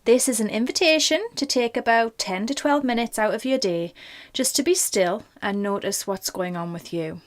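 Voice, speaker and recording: A woman leading a guided meditation in a gentle, informal, reassuring manner. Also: on the high side, normal speed, hardly any pauses. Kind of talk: guided body scan meditation.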